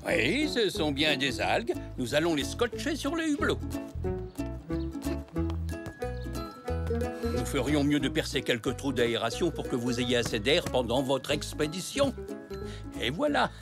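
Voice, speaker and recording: An actor voicing a kindly, playful old grandfather zebra in a French children's cartoon, speaking gently and encouragingly. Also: medium pitch, huge pitch swings, moderate pace. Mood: cheerful. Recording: music playing under the voice.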